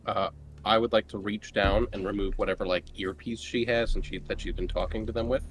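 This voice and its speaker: A man with a fairly low voice talks casually as a player in a tabletop roleplaying game. There is faint noise behind him. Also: fairly even intonation, brisk, rough recording.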